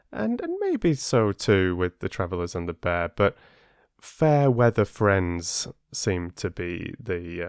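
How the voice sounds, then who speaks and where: A man reading aloud as an audiobook host, with an expressive, measured storytelling manner. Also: low-pitched, clear, resonant tone, dry recording, studio quality. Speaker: audiobook reader and channel host. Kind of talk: children's story narration.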